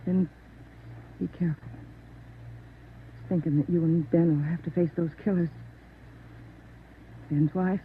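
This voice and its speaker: A woman's voice: lowish voice, steady tone, unhurried, long pauses, lightly acted. An actress playing a stoic, grieving policeman's widow, speaking with solemn restraint in a 1940s radio drama. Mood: subdued grief. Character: stoic, grieving young policeman's widow, gentle but resolute. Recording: tinny, faintly noisy old radio recording.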